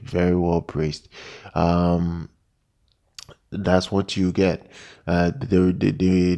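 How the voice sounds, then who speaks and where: A man giving an unscripted product overview as a tech reviewer, halting and often repeating words and phrases. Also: deep voice, mellow. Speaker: tech reviewer, channel host.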